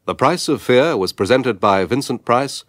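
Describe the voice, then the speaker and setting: A man's voice: mid-range voice, expressive, normal speed, a bit bright, no room sound. Dry-sounding British radio announcer, male. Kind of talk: formal broadcast credits reading.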